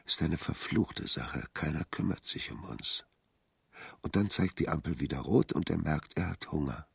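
An actor playing a brooding, uneasy man in a literary radio play, with a gravelly, husky voice and a ruminating, intense manner. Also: very deep voice, animated, normal speed, no room sound, studio quality, exaggerated voice. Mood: weary, brooding. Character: brooding, uneasy male storyteller in revolutionary times.

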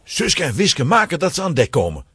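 A man's voice: fairly high pitch, wildly swooping pitch, brisk, fairly bright tone, slightly rough, dry recording, clean studio sound. A man narrating a children's adventure story in a dramatic, scripted storytelling style.

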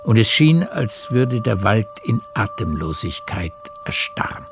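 A man narrating a radio play as a literary storyteller, with measured, deliberate phrasing. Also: lowish voice, big pitch swings, music in the background.

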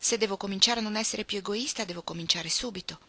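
A woman's voice: medium pitch, fast, bright, forceful tone. A woman voicing an earnest little girl, the youngest sister in an audiobook, serious and humble. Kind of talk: audiobook character voice.

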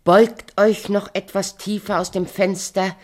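A woman's voice: medium pitch, flat delivery, a little slow, a little mellow, natural voice. An actress playing a vain, scheming and imperious evil queen in a theatrical fairy-tale radio play. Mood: sly, wheedling. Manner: wheedling, imperious, dramatic.

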